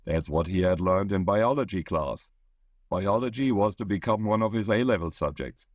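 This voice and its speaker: A man narrates a written short story in a fairly low voice. The recording is dry and close, with no room around the voice. He reads as the author of the piece. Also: normal speed, dry recording, lo-fi sound.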